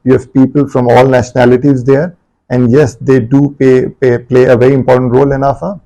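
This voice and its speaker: A man from Pakistan with a Pakistani accent, Urdu his first language, answering interview questions as a guest barrister in a measured way and mixing English with Urdu. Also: medium pitch, little pitch movement, creaky, warm tone, dull audio.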